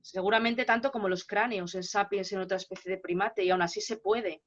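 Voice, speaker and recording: A woman with a Spanish accent, a guest lecturer answering questions in an online seminar, explaining in a brisk, assured way. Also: mid-range voice, steady tone. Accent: Spain Spanish. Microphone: video call. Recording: dull lo-fi call audio.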